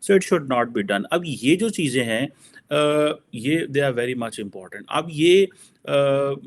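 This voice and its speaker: A man with a Pakistani accent explains his opinions as a political analyst in informal commentary, mixing in English phrases. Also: medium pitch.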